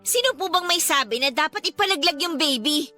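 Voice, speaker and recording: A woman's voice: sits high, expressive, fairly quick, bright, forceful tone. A Filipino woman playing a frustrated, outspoken eldest daughter in a radio drama, indignant and emphatic in her delivery. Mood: frustrated, hurt. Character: frustrated, outspoken adult eldest daughter, dutiful but hurt. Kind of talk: Tagalog radio drama acting. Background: background music.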